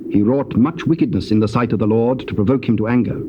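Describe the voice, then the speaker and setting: A man of medium pitch narrates scripture formally, with measured storytelling delivery. The recording is a dull old cassette with clear background noise. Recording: muffled, noisy old cassette tape.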